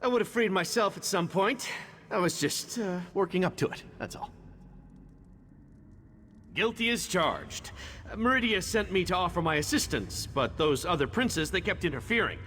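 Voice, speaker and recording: An actor playing a cocky, flippant knight champion in a fantasy video game, with a wry, glib, theatrical delivery. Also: male voice, high voice, lively intonation, moderate pace, fairly bright tone, a little hiss or hum. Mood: sheepish bravado. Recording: long reverb over background music.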